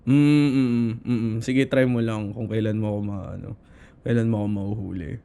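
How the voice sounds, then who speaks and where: A man with a Filipino accent playing a shy, affectionate boyfriend in his twenties in an intimate ASMR roleplay, speaking softly and hesitantly. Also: lowish voice, fairly even intonation, creaky, smooth. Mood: teasing, affectionate. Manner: soft, hesitant, halting, slipping between Tagalog and English.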